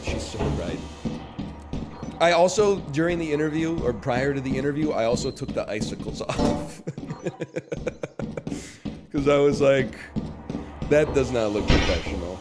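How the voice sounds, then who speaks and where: A hoarse man in his thirties chatting casually and joking while streaming a game. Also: mid-range voice, unhurried, pauses often, over loud music. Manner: rambling, joking asides. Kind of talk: casual chat while gaming.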